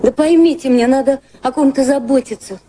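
A woman's voice: sits high, a little fast, dark tone, slight background noise, close to an everyday voice. An actress playing an earnest, confiding, guilt-ridden woman of good society in a Russian radio drama. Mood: anxious, earnest. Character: troubled well-bred woman, guilt-ridden, engaged to be married.

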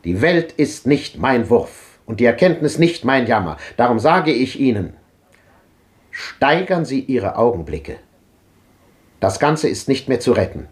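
An actor playing a fervent old visionary philosopher, delivering grand rhetorical speeches in a 1950s radio drama. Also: male voice, mid-range voice, big pitch swings, unhurried. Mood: declaiming. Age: older. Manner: declamatory, impassioned, rhetorical.

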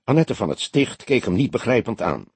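A male audiobook narrator with a husky voice reads detective fiction. His delivery is scripted storytelling with lively intonation, in a dry, close recording. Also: medium pitch, brisk, no echo.

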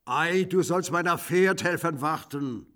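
A high, blustering military commandant. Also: male voice, measured pace, fairly bright tone. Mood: commanding. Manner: hearty, condescending, commanding. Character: blustering, jovial military commandant, amused by youngsters. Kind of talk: radio drama acting.

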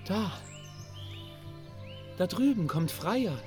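A sly henchman of the gods speaks over clear dramatic music in a fantasy radio play. His delivery is slow but animated, rising and falling freely. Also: high-pitched, exaggerated voice. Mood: announcing.

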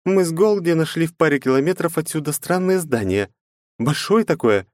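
A brisk, tough female fighter, as a man voices her higher, teasing and bossy, with a lively rising and falling delivery. the recording is close and dry. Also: no echo, lightly acted. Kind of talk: audiobook character voice.

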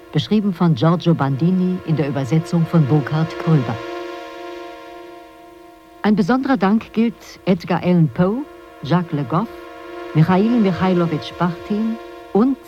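Hoch liegende männliche Ansagerstimme. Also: male voice, high-pitched, a little mellow. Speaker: announcer. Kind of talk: scripted radio play title announcement. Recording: slightly dull sound, music underneath.

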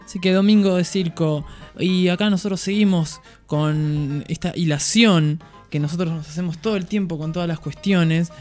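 A man's voice: fairly high pitch, normal speed, darkish tone, faint music behind. A man co-hosting a radio chat, introducing segments enthusiastically. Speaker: radio co-host.

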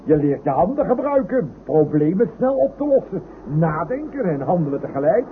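An actor playing an excitable, bossy holiday camper in a Dutch radio play, stammering and repeating himself. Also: male voice, sits high, moderate pace. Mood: cheerful. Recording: noisy, tinny old radio drama recording.

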